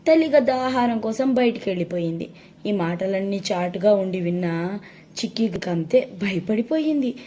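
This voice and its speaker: A woman's voice: medium pitch, expressive, normal speed, warm tone. A woman narrating a children's story as a storyteller. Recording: faint background noise.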